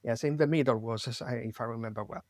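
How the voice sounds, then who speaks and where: A man from Italy with an Italian accent, hosting an interview in a halting way, searching for words with many fillers and restarts. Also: medium pitch, darkish tone, dry recording, clean studio sound. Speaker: podcast host.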